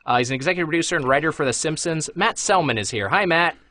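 A man co-hosting a comedy podcast in a dry, flat, deadpan way, introducing guests and asking questions. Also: fairly high pitch, fast talker. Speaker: podcast co-host. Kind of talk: casual comedy podcast chat.

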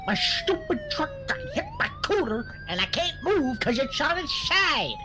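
A man playing an excitable, bumbling Southern sheriff who whoops, sputters and repeats himself in comic game roleplay. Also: very high voice, normal speed, heavily acted. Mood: exasperated. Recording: sirens and vehicle noise behind. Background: police sirens, game vehicle noise.

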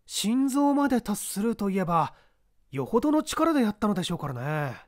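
Male reader as keen young detective, storybook mystery, bright and inquisitive. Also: high voice, big pitch swings, fairly quick, dry recording, studio quality, pitched above the performer's own voice. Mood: earnest. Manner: polite, crisp questioning.

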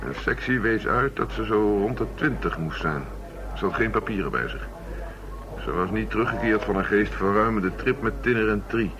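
Male chief inspector acted by a man, radio play: low, thoughtful, methodical; dull recording with crowd murmur behind. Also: normal speed, lightly acted. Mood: businesslike. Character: male police chief inspector methodically reviewing murder cases. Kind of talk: radio drama acting, naturalistic dialogue.

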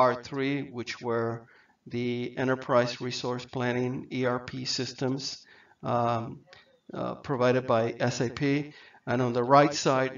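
A man giving a software training lecture over slides, halting often with fillers and restarts. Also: mid-range voice, recorded from a distance. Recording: dull lo-fi mic, set back.

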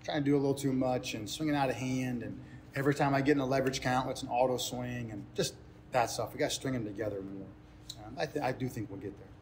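A man's voice: medium pitch, steady tone, fast. A man in his thirties, a sports coach, giving earnest, explanatory unscripted answers in a press interview, often repeating phrases for emphasis. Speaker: head baseball coach. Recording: ambient noise behind speech.

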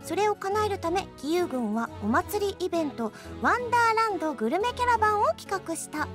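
A woman's voice: extremely high pitch, big pitch swings, a little fast. A woman hosting a radio show, switching between casual chat and reading out game announcements. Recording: music behind the voice. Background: background music.

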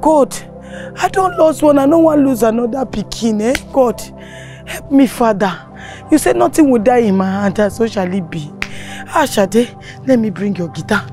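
A woman acting a desperate Nigerian mother in a comedy skit. Her voice rides high and swings wildly between notes, slow and tearful, with soft music under it. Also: lively intonation, natural voice. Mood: pleading. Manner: pleading, repeating herself. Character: distraught mother begging her silent daughter to eat.

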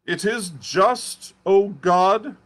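A man's voice: high-pitched, extremely slow, a bit bright, pitched above the performer's own voice, exaggerated voice. A man doing a raving doom-crying curate. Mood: lamenting. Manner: fervent wailing sermon-like raving. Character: ranting doom-preaching male curate, half-mad.

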